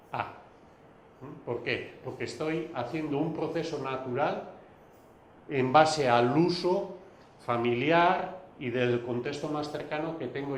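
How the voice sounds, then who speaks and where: A man whose first language is Basque, giving a recorded video lecture, pausing often with fillers and restarts. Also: medium pitch, echoey room, a little hiss or hum, low-quality recording. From Basque Country. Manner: hesitant, many fillers and restarts.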